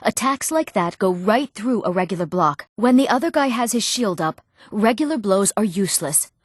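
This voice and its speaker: Eager young woman , played by an actress, for fantasy game dialogue; earnest, medium pitch, dry studio sound. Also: animated, normal speed, no room sound, lightly acted. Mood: instructive. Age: young adult. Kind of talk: video game character dialogue.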